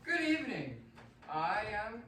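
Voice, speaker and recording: A sinister vampire count, formal and menacingly polite, with a high voice that sweeps up and down theatrically. It is a radio play heard in a very echoey space. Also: male voice, very animated, measured pace, pushed and bright, exaggerated voice. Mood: menacingly courteous. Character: Count Dracula, courtly, sinister vampire nobleman from Transylvania. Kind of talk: theatrical radio drama acting. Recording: very echoey room, distant mic, faint noise.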